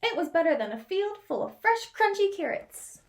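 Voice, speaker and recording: A woman reading a picture book aloud as a storyteller, expressive, with dramatic pauses and drawn-out key words. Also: extremely high pitch. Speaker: children's read-aloud storyteller.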